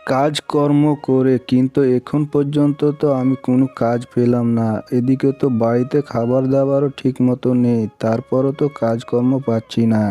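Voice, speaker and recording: A man as a mellow, worried village father. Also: medium pitch, level tone, moderate pace, hardly any pauses, lightly acted. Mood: despairing. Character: poor, worried village father seeking work, pleading and devout. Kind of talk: cartoon voice acting. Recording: music behind the voice.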